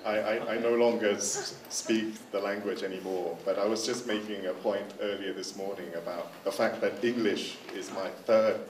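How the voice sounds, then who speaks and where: A man whose first language is Dutch presents a curator's talk in a lecture hall, slow and hesitant, with plenty of repeated words and fillers. His slightly low voice carries the roomy echo of the hall and sounds distant from the microphone. A faint noise sits underneath. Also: steady tone. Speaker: curator giving a forum presentation. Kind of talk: conference talk. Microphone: handheld microphone.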